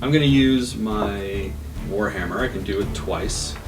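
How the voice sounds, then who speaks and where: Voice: low-pitched, unhurried. A tabletop game player casually announcing attacks and dice rolls. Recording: music bed behind the voice.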